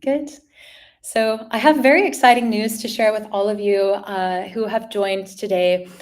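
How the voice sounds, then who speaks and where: A woman's voice: medium pitch, steady tone, a little slow. A woman hosting a live webinar, giving upbeat, scripted introductions with the odd filler. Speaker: webinar host.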